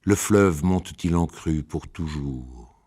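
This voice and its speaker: A man reading ancient epic poetry aloud in a solemn, measured, declamatory way. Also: very deep voice, darkish tone.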